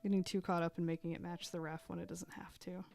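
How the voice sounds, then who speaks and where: A woman chatting casually while she draws on a live stream, thinking aloud with fillers and little laughs, a bit hoarse as she loses her voice. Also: fairly low pitch, flat delivery, fast, darkish tone. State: losing her voice.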